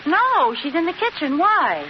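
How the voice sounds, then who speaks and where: An actress playing a witty, meddling wife with quick, teasing comebacks in an old radio sitcom, on a muffled, noisy recording. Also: female voice, very high-pitched, big pitch swings, exaggerated voice. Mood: bright, curious. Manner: quick comeback banter, mock indignation. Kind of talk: 1940s-50s radio sitcom acting.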